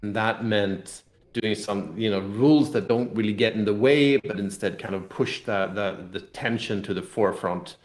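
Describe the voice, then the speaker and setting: A man's voice: on the low side, normal speed. A man explaining things casually as an interview guest, with loose, rambling phrasing. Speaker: game designer and CEO, interview guest. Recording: echoey, roomy sound.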